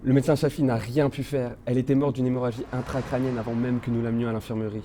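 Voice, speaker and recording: A man's voice: mid-range voice, flat delivery, rapid-fire, mellow, a little hiss or hum, lightly acted. A man playing an anxious ship's engineer recording logs in an amateur science fiction audio drama. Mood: shaken. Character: male ship engineer, anxious officer under mounting strain.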